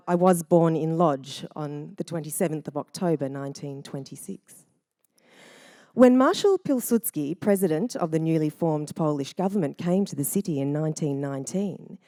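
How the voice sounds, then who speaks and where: A woman narrating a family memoir in a measured, reflective first-person reading. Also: on the low side, mellow, dry recording, clean studio sound.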